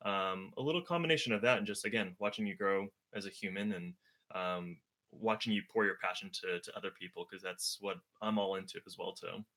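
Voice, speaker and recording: Brief: young man, Mandarin first language, earnest and reflective, low-pitched and bright-toned, lots of restarts. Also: fairly quick. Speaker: guest, older brother. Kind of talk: casual chat. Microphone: video livestream.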